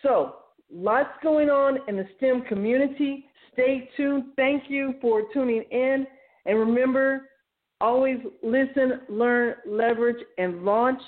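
A woman hosting a show, talking informally and enthusiastically as she walks listeners through announcements in a conversational way. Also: fairly high pitch. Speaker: radio show host. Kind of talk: informal solo talk, announcing articles and events. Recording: thin, phone-like narrow-band sound.

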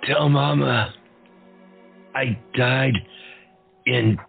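An actor playing a childlike gentle giant of a man, dying of burns and in pain, speaking in a gravelly, slow, halting voice in an audio drama. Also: mid-range voice, steady tone, full character voice. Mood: weak, simple. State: in pain, fading. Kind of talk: amateur audio drama acting. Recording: tinny phone-like audio, faint music.

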